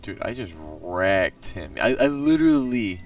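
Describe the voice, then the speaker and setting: Young male streamer, wildly swinging pitch, slightly distant from mic. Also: sing-song, a little slow, soft background music. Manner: playful, joking, reacts with exclamations. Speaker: gaming streamer. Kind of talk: casual let's-play commentary.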